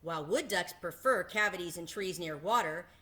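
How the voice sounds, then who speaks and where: A woman with a bright, forward voice reads aloud from a children's picture book. She sounds like a teacher reading to a class. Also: on the low side, normal speed. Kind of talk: children's storybook read-aloud.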